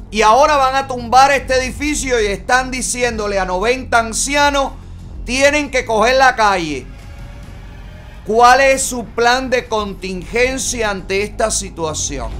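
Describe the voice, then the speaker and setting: A man's voice: sits high, a bit bright. A man in his forties with a Cuban accent, hosting a studio commentary show and asking indignant rhetorical questions. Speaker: host. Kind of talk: opinion commentary. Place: studio with yellow backdrop.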